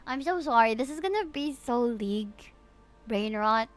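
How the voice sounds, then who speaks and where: High-voiced gushing woman streamer, Filipino accent. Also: moderate pace. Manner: gushing, excitable, reads game dialogue aloud. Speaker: VTuber livestreamer. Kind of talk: casual livestream game commentary. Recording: game music behind the voice. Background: game music.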